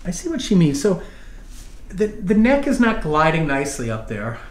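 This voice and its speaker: A man's voice: on the high side, huge pitch swings, moderate pace. Middle-aged male chiropractor, echoey room. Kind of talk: casual hands-on treatment talk. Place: indoor chiropractic treatment room. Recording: echoey room with faint background noise.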